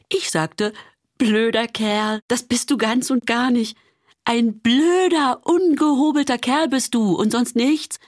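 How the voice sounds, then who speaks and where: Woman reading a story for radio, indignant, lively pitch, dry studio. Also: dry recording. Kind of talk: scripted literary narration, radio play.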